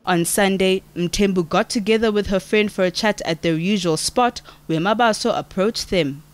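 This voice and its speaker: A woman's voice: medium pitch, moderate pace. Woman reading a news report. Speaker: field reporter. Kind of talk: scripted news voice-over.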